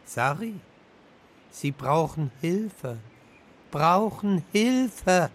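An actor playing an anxious, wrecked family car that talks haltingly and repeats its words, in a children's radio play. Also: medium pitch, animated. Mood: urging. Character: wrecked talking family car, anxious, urging, machine character.

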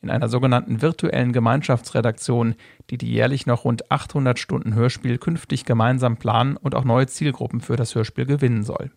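Dry, creaky male radio narrator. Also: mid-range voice, steady tone, a little mellow, dry recording. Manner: measured, factual. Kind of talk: scripted documentary narration.

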